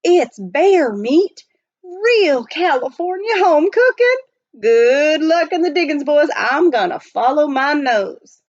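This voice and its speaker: A woman's voice: high voice, sing-song, takes it slowly, darkish tone, highs cut off, voice pushed up, full character voice. A woman voicing a hearty, folksy mountain man of the gold rush in a storybook reading, drawling and exclamatory. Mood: hearty, eager.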